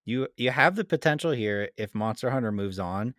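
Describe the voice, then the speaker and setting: A man's voice: mid-range voice, lively intonation, moderate pace, fairly bright tone, dry recording, clean studio sound. A man hosting a podcast, chatting casually and informally as he debates. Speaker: podcast host.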